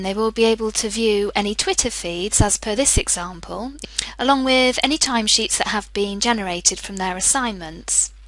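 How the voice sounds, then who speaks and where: A woman presenting a software demo as a scripted screencast, explaining clearly step by step. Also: mid-range voice, dry recording, low-quality recording. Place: voice-over for screen recording.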